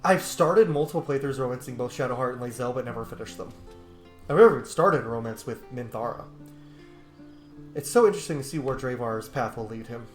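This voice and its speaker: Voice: medium pitch, expressive, fairly quick, reverberant. A gamer giving casual, informal let's play commentary on a roleplaying game, chatty and reading dialogue choices aloud. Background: game music.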